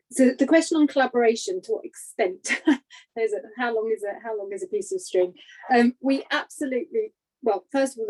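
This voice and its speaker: A woman with a husky voice answering questions as a programme lead on an online call, in an unscripted, professional way. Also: mid-range voice, warm tone, poor audio.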